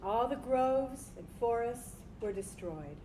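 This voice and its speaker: A woman's voice: fairly high pitch, expressive, slow, mellow, roomy sound, slight background noise. A woman reading narration in a dramatized literary storytelling performance. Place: live staged reading in a room.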